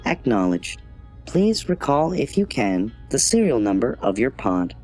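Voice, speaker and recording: A man's voice: mid-range voice, sing-song, unhurried, a little mellow, soft background music. A man voicing a polite but obtuse customer-service AI in an audio drama, formal, scripted and impassive. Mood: procedural. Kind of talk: automated assistant in audio drama.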